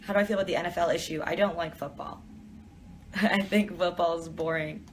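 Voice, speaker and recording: A woman's voice: on the low side, steady tone, a little fast, slight background noise. A young woman from Detroit, chatting casually as she reads out viewer questions and answers them in an office during a live stream. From Detroit, USA.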